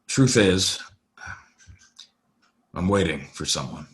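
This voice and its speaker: A man playing a calm, guarded drifter in an Old West audio drama, speaking sparely and unruffled. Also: low-pitched, slow. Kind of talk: Western audio drama acting.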